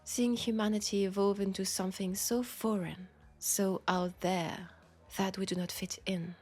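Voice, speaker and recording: A woman with a French accent voicing a centuries-old, wry and world-weary female vampire in an intimate audio roleplay, softly and breathily. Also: mid-range voice, little pitch movement, unhurried, close to an everyday voice. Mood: grave, melancholic. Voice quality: breathy, soft, slipping into whispers.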